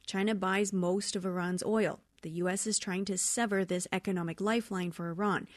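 A woman's voice: medium pitch, steady tone, brisk, no room sound. Female news correspondent, dry studio sound. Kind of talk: scripted news report.

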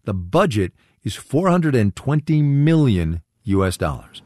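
Animated male radio narrator. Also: mid-range voice, unhurried, dry recording, studio quality. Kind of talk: scripted narration.

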